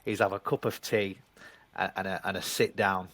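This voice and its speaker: A man's voice: low-pitched, normal speed. Man with a broad Yorkshire accent. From England (Leeds). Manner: self-deprecating, animated storyteller. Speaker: guest. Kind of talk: casual interview chat. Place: at home.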